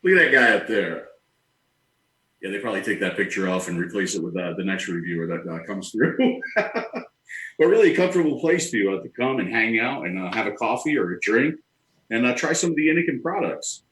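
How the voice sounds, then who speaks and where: A man's voice: fairly low pitch, big pitch swings, a little fast, fairly bright tone, slight background noise. A man touring a showroom on camera, talking casually. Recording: echoey room.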